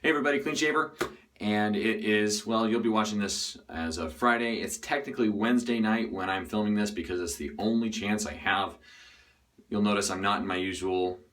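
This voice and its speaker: A man hosting a shaving vlog from a hotel bathroom, his voice slightly raspy from days of talking, rambling with many uhs and restarts. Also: lowish voice, brisk, a bit bright, recorded from a distance. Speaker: shaving video host. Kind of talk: casual to-camera vlog.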